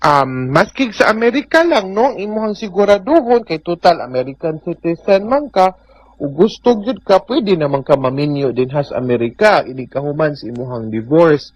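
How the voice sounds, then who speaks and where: A man's voice: fairly high pitch, lively intonation, moderate pace, muffled recording. A man with a Filipino Cebuano accent giving advice as a radio host, emphatic and switching into English phrases.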